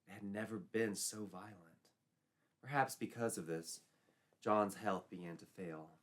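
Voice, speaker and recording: A man's voice: lowish voice, unhurried, a bit bright. A man narrating a folklore tale as a storyteller, in a dramatic scripted style.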